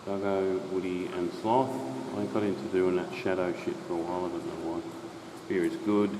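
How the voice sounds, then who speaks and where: A man's voice: low voice, normal speed. Laid-back man in an echoey drain. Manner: rambling, laid-back, swears freely. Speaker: drain explorer host. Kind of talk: casual walkthrough narration. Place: underground stormwater drain tunnel. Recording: echoey tunnel with steady noise.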